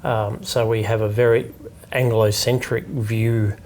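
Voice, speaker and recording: A middle-aged man hosts a studio interview. His voice sits a little low, and he talks in a reflective way, filling gaps with um and uh. He is recorded on a lapel mic. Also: little pitch movement, unhurried, darkish tone. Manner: reflective, with um and uh fillers. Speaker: think tank executive director, host. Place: studio set with dark curtains.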